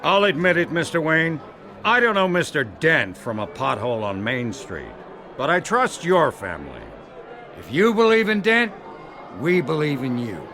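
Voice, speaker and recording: A man's voice: fairly high pitch, very animated, normal speed, pushed and bright, heavily acted. An actor playing a cranky, cynical older rich man, blunt and grumbling, in a dramatic video game. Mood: skeptical.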